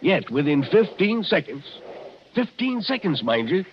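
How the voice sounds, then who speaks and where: A man's voice: sits high, sing-song, a little slow. An actor playing a plain-spoken, cautionary old local man in a folksy 1940s radio drama style. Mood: ominous, emphatic. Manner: folksy, emphatic storyteller. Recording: muffled, hissy old radio recording.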